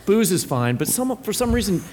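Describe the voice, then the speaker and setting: A man's voice: high voice, big pitch swings, normal speed, a little mellow, a little hiss or hum. A man with an American accent chatting casually as a podcast co-host at a live event. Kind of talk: casual chat. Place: live stage at a book fair.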